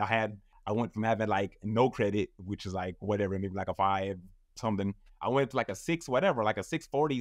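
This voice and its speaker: A man's voice: fairly low pitch, dry recording, clean studio sound. A man in his thirties, a guest in a casual interview, telling his story in a loose, rambling way full of fillers. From United States. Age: 30s.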